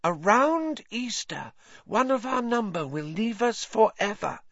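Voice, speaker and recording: A man voicing an excitable teenage schoolgirl who gushes breathlessly. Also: high-pitched, unhurried, no room sound, lo-fi sound, voice pushed up, full character voice. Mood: excited. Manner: breathless, squealing.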